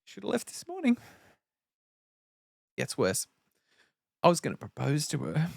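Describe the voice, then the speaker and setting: A man's voice: fairly high pitch, huge pitch swings, a little slow, vocal fry, no echo, studio quality, voice pushed up, exaggerated voice. A man playing a miserable, grumpy young man who has been dumped, sighing and downbeat, in a table read of a film comedy. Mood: miserable, upset.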